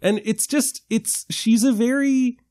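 A man's voice: extremely high pitch, a little slow, background noise. A man on a film podcast, animatedly arguing a minority opinion with examples. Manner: animated, argumentative, builds his case with examples. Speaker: podcast panelist. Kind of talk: casual film discussion.

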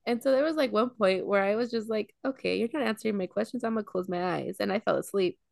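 A woman's voice: mid-range voice, quick pace, creaky, dry recording, clean studio sound. Chatty young woman telling stories. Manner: chatty storyteller, lots of "like" and "um". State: coming down with a cold. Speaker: podcast co-host. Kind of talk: casual chat between friends.

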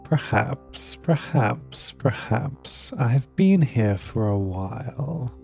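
A man voicing a thin, crackly old female voice coming out of a speaker, slow and sweetly doting. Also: medium pitch, lively intonation, gravelly, a little mellow, faint music behind. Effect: crackly poor connection.

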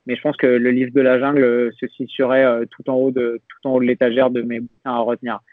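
A man's voice: medium pitch, flat delivery, quick pace, dry recording. A young adult man, a podcast guest, talking in a reflective, hesitant way with many restarts. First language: French. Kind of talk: casual conversational interview. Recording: dry, phone-like narrow sound.

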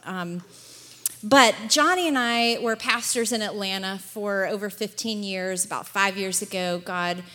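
A woman's voice: mid-range voice, bright, forceful tone. Southern lady talking at church, upbeat, laughs a lot, big echoey hall. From Alabama. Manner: chatty, upbeat, laughs often. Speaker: guest speaker. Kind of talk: church address. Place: church sanctuary. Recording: long church hall reverb.

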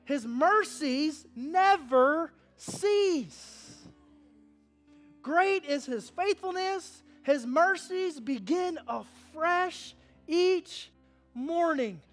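A pastor in his thirties preaching a sermon, animated, swinging from hushed to loud and calling for responses from the congregation. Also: very high-pitched, drawn-out words, faint music behind. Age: 30s.

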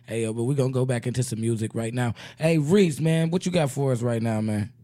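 A man with a raspy voice hosting a casual comedic radio show, joking and laughing often in slangy banter. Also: mid-range voice, brisk, mellow.

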